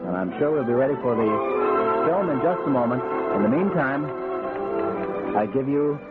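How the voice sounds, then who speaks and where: A man's voice: mid-range voice, expressive, moderate pace. A male radio announcer ad-libbing live commentary to fill time on an old broadcast. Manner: stalling, filling time. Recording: tinny 1940s radio, loud orchestra behind. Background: orchestra music.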